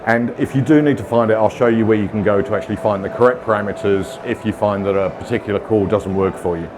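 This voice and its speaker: A man's voice: on the low side, fast, noisy background. A middle-aged man from the UK with a British accent, giving a casual, self-deprecating technical conference talk full of ums and uhs. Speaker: conference speaker. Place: conference room with audience.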